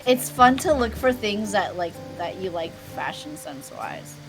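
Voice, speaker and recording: Soft-spoken young woman streamer, candid. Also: mid-range voice, animated, normal speed, music in the background. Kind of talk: casual chat on a live stream.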